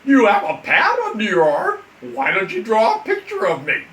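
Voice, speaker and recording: A man's voice: high-pitched, huge pitch swings, normal speed, fairly bright tone, voice pushed up, full character voice. A man voicing a precocious, self-important frog in a declamatory way for a children's bedtime story. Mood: chatty. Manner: punctuates speech with ribbits, declamatory. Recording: echoey room, off the mic.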